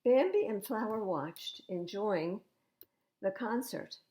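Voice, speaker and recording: Animated older woman reading children's stories. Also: mid-range voice, slow. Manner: animated, acting out dialogue. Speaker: library storytime reader. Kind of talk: expressive children's storybook read-aloud.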